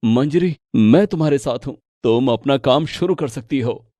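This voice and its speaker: A man's voice: medium pitch, moderate pace, dark tone, no echo, lightly acted. A man playing an earnest, supportive young husband in a dramatic moral story.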